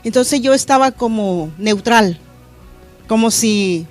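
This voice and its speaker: A middle-aged woman pastor from Honduras, reflecting earnestly on her faith in a studio interview, pausing with fillers. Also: a little high, faint music behind. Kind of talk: testimony interview. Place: church media studio.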